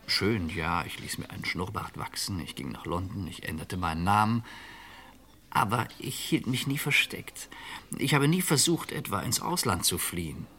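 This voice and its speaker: An actor playing a calm, resigned middle-aged fugitive in an old radio crime drama, measured and reflective. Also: male voice, deep voice, slight background noise, full character voice.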